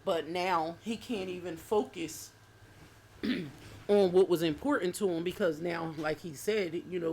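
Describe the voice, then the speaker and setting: A woman's voice: on the low side, a little mellow, a little hiss or hum. A woman in her forties co-hosting a casual chat, speaking earnestly and quoting past conversations. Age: 40s. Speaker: co-host.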